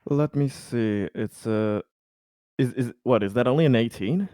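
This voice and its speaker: A man's voice: medium pitch, measured pace, mellow, no room sound, studio quality. A man playing a tabletop roleplaying game, chatting casually with the group. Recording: noisy mic with clicks.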